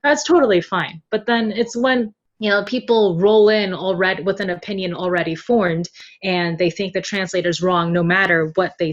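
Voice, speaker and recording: A chatty woman speaking casually as an interview guest, quick to laugh, explaining her work as a translator. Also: mid-range voice, muffled recording. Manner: chatty, laughs readily while talking. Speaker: podcast guest, web novel translator.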